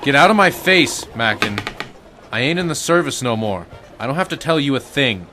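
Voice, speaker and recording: A man playing an edgy, defensive ex-Marine turned crook in a detective radio drama, talking in gruff slang. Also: medium pitch, animated, moderate pace, music in the background, close to an everyday voice. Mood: angry.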